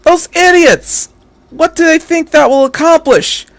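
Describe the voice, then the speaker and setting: A man's voice: very high-pitched, a little slow, no echo, pitched above the performer's own voice. A man puts on a commanding woman officer with a short temper, in an audiobook story. She is curt and demanding, used to giving orders. The recording is very dry. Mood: horrified, outraged. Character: hot-tempered commanding female military operations officer. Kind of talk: fan fiction audiobook character.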